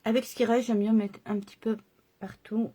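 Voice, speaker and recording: A woman's voice: fairly high pitch, fairly even intonation, brisk, dark tone. A woman chatting through a makeup tutorial and explaining each step to her viewers. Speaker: makeup vlogger.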